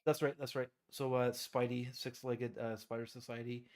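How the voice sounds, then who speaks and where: A man's voice: medium pitch, little pitch movement, moderate pace, darkish tone. Stuffy man rambling through a cold. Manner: rambling, self-deprecating, many fillers. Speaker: co-host. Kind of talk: casual live-stream chat.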